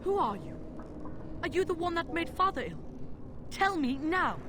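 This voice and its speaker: A small boy in a fantasy game, frightened and mistrustful. The voice is extremely high and plaintive. There is clear ambient noise around it. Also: very animated, normal speed. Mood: accusing, defiant. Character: frightened, suspicious little boy, lost and cold.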